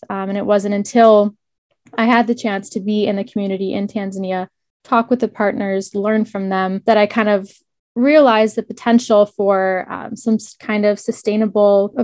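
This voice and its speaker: A young woman in her twenties shares her story as an interview guest. She sounds earnest and reflective, with a few small hesitations. Her voice sits at a middle pitch, and the recording is dry and close, with no room sound. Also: darkish tone, no room sound. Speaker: student guest.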